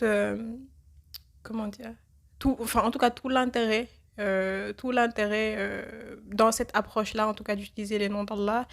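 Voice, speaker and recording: A woman with a cold hosting a reflective religious podcast, speaking thoughtfully and pausing often with fillers. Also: medium pitch, gravelly. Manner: hesitant, many filler pauses. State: has a cold. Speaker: podcast host.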